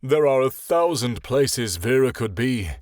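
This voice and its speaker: A man doing a worried male dragon in a storybook reading, his voice slowed down and close to his own. He sounds set on going his own way. The sound is close and dry. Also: medium pitch, animated, darkish tone, dry recording, close to an everyday voice. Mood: determined.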